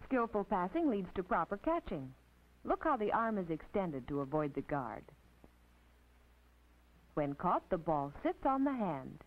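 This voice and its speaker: American woman, instructional narrator, thin band-limited old film sound, expressive pitch movement, measured scripted phrasing, precise teacherly delivery. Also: narrow, tinny audio. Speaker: narrator and physical education instructor.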